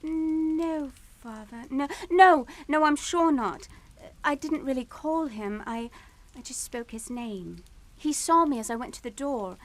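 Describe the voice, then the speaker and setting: Casting: fragile young English daughter, high voice, halting and tremulous, for suspense radio play. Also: female voice. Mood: nervous, hesitant. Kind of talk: radio suspense drama acting.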